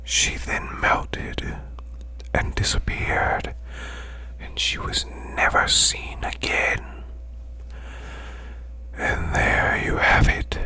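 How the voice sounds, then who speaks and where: A performer voicing a male demon boss who tells bedtime tales in ASMR style, in a hushed, breathy, growling whisper with slow, deliberate delivery. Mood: hushed, eerie.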